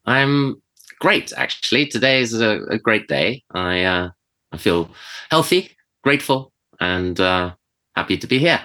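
A middle-aged man with a British accent speaks in a very low, bright voice with a forceful edge. He talks in a relaxed, reflective way, pausing on fillers and restarting phrases as he answers interview questions. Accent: British English. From Switzerland. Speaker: chef and podcast guest. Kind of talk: conversational interview.

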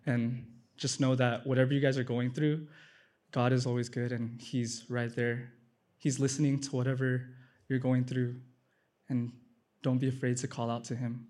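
A man's voice: medium pitch, little pitch movement, moderate pace, a little mellow. Man, earnest, repeats for emphasis, personal testimony. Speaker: testimony speaker.

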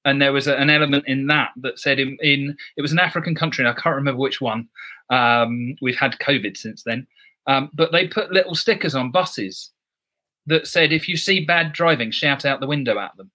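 A man with a bright, forceful voice, talking on a podcast. He is reflective and builds his argument point by point. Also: medium pitch, normal speed. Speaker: driving instructor guest. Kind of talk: podcast discussion.

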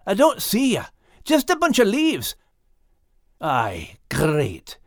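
Spunky Scottish dog, wildly swooping pitch. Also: male voice, wildly swooping pitch, slow pace, dry recording, studio quality, exaggerated voice. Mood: wry. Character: spunky little male Scottish terrier, plucky and wary.

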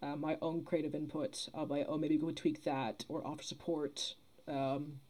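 Woman, dark mellow tone, casual interview, tentative, reflective. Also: deep voice, little pitch movement, moderate pace. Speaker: theatre producer, podcast guest.

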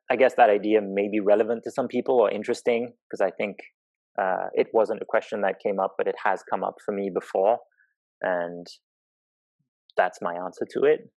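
A man from Zimbabwe with a fairly low voice talks in a reflective, conversational way. He is thoughtful and halting, often searching for the right words. The sound is very dry, with no room echo. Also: a little mellow. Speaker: interview guest, professional climbing route setter.

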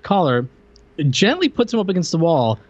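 A man's voice: high voice, very animated, moderate pace, a little hiss or hum. Incredulous male podcaster, slightly dull audio. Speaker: podcast co-host. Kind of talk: casual film-review chat.

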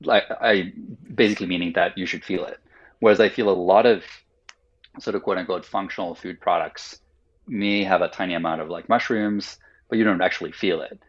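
This is a deep, husky man whose first language is Finnish, talking through his thinking in a thoughtful, roundabout way full of "like"s. The audio is dull and lo-fi. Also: fairly even intonation, fairly quick. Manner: thoughtful, explains at length with many "like"s. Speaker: guest, company founder. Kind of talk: casual podcast interview.

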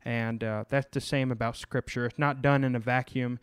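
A male podcast host talks casually about scripture, often stopping to restart his sentences or fill a gap with an "uh." His voice comes across smooth and clear, and the recording is close and dry, with no room sound. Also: medium pitch, steady tone, no room sound. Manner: halting, many fillers and restarts.

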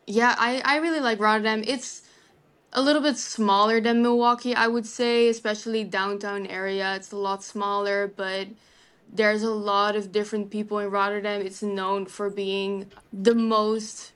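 A woman's voice: mid-range voice, flat delivery, moderate pace, a bit bright. A young woman from the Netherlands, a native Dutch speaker, chatting easily in an interview and often using "like" and "kind of". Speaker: legal assistant, guest. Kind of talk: casual interview answers.

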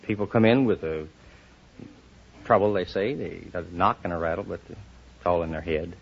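A man's voice: low voice, moderate pace, vocal fry. A man giving short, plain-spoken answers as a contestant on an old radio quiz show. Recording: dull, noisy old radio recording.

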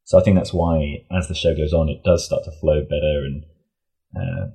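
A man's voice: extremely low pitch, fairly even intonation, moderate pace, mellow, husky. A man chatting casually as an interview guest, explaining things in a hesitant, rambling way. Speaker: audio drama creator and sound editor, interview guest.

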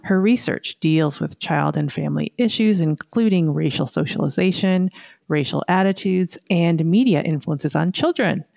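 Woman, middle-aged, lower-pitched, podcast co-host, presenting, close dry recording. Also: moderate pace, creaky, darkish tone, no echo.

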